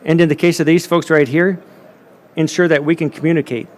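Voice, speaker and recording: A man's voice: a little high, little pitch movement, a little fast. A man moderating a conference panel, speaking emphatically and often repeating words as he builds a point.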